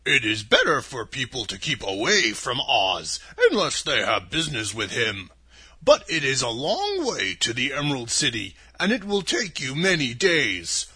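Man acting a grave, raspy Munchkin man. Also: medium pitch, huge pitch swings, pushed and bright, voice pushed down, full character voice. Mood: grave warning. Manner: solemn, measured. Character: grave, cautious Munchkin man advising a traveller.

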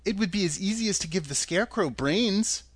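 A man reading a storybook aloud, voicing a timid, gentle young girl who speaks softly and slowly. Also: sits high, animated, no room sound, voice pushed up. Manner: soft, slow, wondering.

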